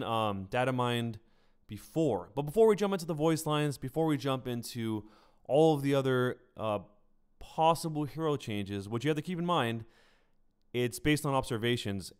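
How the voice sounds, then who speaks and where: A man's voice: medium pitch, clear, resonant tone. A man hosting a live game stream, giving casual news commentary in an informal, conversational way, speaking directly to his viewers. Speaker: game streamer and video host.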